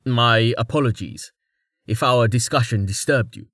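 A man's voice: mid-range voice, a little slow, a bit bright, no room sound, studio quality. A man voicing a winged bird-man nobleman who speaks in stiff, overly courteous formal phrases. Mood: pompous.

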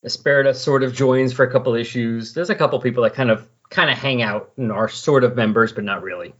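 A man with a husky voice chatting casually on a podcast, explaining and recapping in a rambling way. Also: mid-range voice, quick pace, a bit bright. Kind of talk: casual comic book discussion. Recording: dull lo-fi voice recording.

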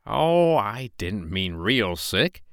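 A man's voice: fairly low pitch, sing-song, slow, clear, resonant tone, no echo, clean studio sound, exaggerated voice. A man voicing an eager, uneducated street meat seller with a folksy, wheedling manner for a children's story. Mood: persuasive. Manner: wheedling, colloquial, emphatic.

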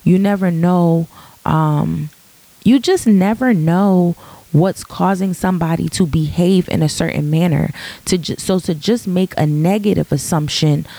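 A woman with a deep, raspy morning voice, speaking in an earnest, reflective way in a casual podcast monologue, full of ums and restarts. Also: unhurried, mellow, no room sound, rough recording.

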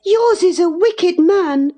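A woman's voice: high-pitched, expressive, measured pace, warm tone, soft background music, voice pushed up. A woman voicing a spirited, affectionate and pert young girl, with emphatic delivery, in an audiobook. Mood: indignant. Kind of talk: audiobook character acting.

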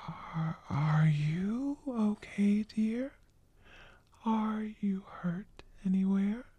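A woman's voice: medium pitch, very slow, mellow, slightly rough, no room sound, pitched above the performer's own voice. A woman voicing an eerily sweet old woman monster from a horror story, doting and cooing over children. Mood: tender concern.

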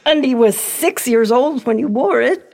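A woman acting in an audio drama as a frail, fearful elderly widow, speaking in a hesitant, gentle, wistful way. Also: high-pitched, lively intonation, normal speed, vocal fry, heavily acted.

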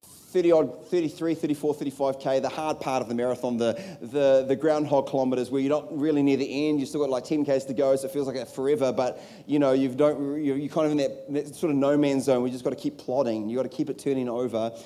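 Chatty New pastor preaching. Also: male voice, medium pitch, fairly even intonation, fast, dark tone. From New Zealand. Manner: conversational, many restarts and rhetorical questions. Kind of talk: sermon. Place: church hall. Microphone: handheld or stage mic.

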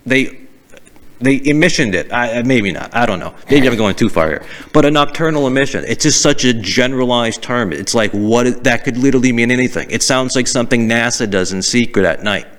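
A young man from outside Boston with a light Boston accent, co-hosting a casual podcast, crude and animated, laughing easily. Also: medium pitch, brisk. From New England, outside Boston. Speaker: podcast co-host. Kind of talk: casual banter.

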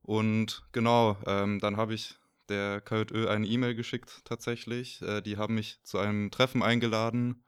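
Man, 24, from Germany, low flat voice, very clear, hesitant, dry close sound. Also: normal speed, few pauses, no room sound. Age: 20s. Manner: hesitant, many fillers. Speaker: podcast co-host. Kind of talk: casual podcast chat.

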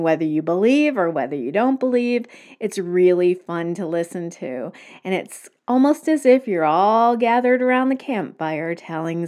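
A woman's voice: on the low side, a little mellow. A woman hosting a solo podcast, talking conversationally and recommending things to listeners. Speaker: podcast host.

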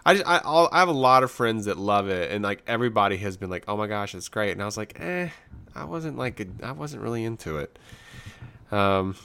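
A man's voice: lowish voice, animated, brisk, creaky, sharp tone, smooth. A man co-hosting a podcast, chatting casually and bluntly in slangy, laid-back talk about what he has been watching. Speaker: podcast co-host. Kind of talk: casual chat reviewing shows and films.

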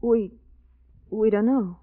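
A woman's voice: mid-range voice, slow pace. An actress playing a brash, jittery young woman putting on bravery in 1940s radio horror style, on a dull old recording. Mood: worried, insistent. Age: young adult. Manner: brash, excitable.

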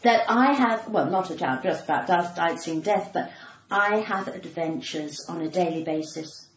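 A woman with a posh English RP accent telling anecdotes theatrically in a casual interview, like a practised comedian. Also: lowish voice. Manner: theatrical, uses dramatic pauses and acted dialogue. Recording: echoey room with faint background noise.

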